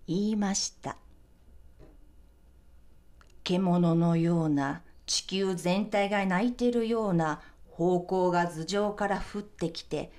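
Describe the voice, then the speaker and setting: A mother in a radio drama, reading a picture book aloud. Her voice sits a little low, and she tells the story slowly, in measured phrases. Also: a little mellow.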